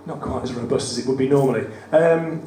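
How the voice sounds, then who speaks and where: A man with a West Midlands accent and a croaky voice, reading his poems at a live event with wry, self-deprecating banter. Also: medium pitch, quick pace, warm tone, far from the mic. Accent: West Midlands English. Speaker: performance poet. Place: studio theatre at a live cabaret event. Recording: roomy live venue, mic from afar, audible room noise. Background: audience ambience.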